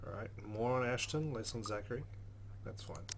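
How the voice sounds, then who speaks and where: A man's voice: mid-range voice, normal speed, slight background noise. A man hosting a let's play, reading game narration aloud and adding casual commentary.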